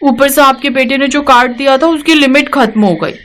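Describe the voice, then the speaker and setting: Female narrator acting spoiled rich young daughter-in-law, very high voice, whiny, entitled, cartoon story. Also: a little fast, a bit bright. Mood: entitled, demanding.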